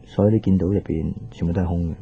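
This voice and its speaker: An actor with a Hong Kong Cantonese accent playing an easygoing, teasing young working boyfriend in a radio drama. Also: male voice, sits low, fairly even intonation, very fast, gravelly, warm tone, lo-fi sound, soft background music. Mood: casual, patient. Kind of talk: naturalistic radio drama dialogue.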